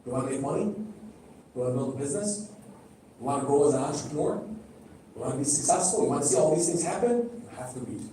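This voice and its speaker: A middle-aged man whose first language is Arabic preaches a sermon from some distance off the mic, his voice ringing through a very echoey hall. He sounds high for a man and mellow, and he keeps putting questions to the crowd and repeating phrases to drive them home. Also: animated, quick pace, long pauses, slight background noise. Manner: direct, questioning the audience, repeating phrases for emphasis. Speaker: speaker at a religious gathering. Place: large hall.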